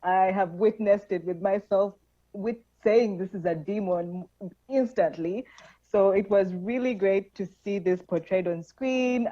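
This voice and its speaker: A woman hosts an online film Q&A with friendly enthusiasm. Her voice is mellow and soft-edged, heard through a dull, lo-fi video call line. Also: medium pitch. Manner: friendly, enthusiastic, quick laughs. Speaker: festival moderator. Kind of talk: online Q&A moderation.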